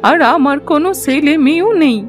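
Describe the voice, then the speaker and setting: A woman's voice: high voice, a little fast, lightly acted. A woman voicing a wise, kindly old village granny in a Bengali cartoon folktale, knowing and emphatic, over music. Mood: gentle, instructive. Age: older. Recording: music behind the voice.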